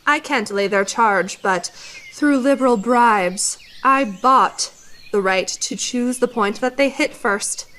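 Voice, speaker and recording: A haughty, scheming military officer acted by a woman in a radio play. The voice sits fairly high and speaks in formal, pompous phrases. There is smug self-importance throughout. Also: takes it slowly, fairly bright tone. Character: haughty, scheming army commander, vindictive and smug. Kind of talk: radio theatre acting.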